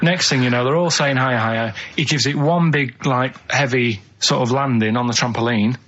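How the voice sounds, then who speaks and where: Husky man rambling through an anecdote. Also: medium pitch, a little fast, a bit bright, muffled recording. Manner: matter-of-fact, hesitant, earnest. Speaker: radio show regular. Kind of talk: casual rambling anecdote telling.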